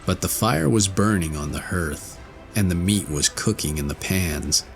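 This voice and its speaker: A man reading a classic fairy tale as an audiobook narrator, in a measured storytelling manner. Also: low voice, vocal fry, soft background music.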